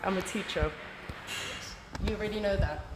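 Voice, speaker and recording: A woman's voice: medium pitch, normal speed, very noisy. Casual woman from South Africa. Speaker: teacher. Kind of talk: casual interview.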